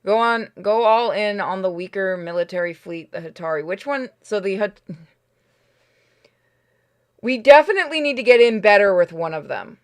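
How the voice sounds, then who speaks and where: Blunt game streamer thinking out loud. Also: medium pitch, lively intonation, normal speed, clear, resonant tone. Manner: blunt, deliberating aloud, sweary. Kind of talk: casual gameplay commentary.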